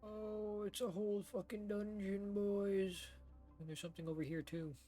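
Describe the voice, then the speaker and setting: A man's voice: high voice, level tone, moderate pace, dark tone. A man doing casual game playthrough commentary, thinking aloud in an informal, sweary way and reading on-screen text. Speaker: game streamer. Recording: game music behind the voice. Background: video game music.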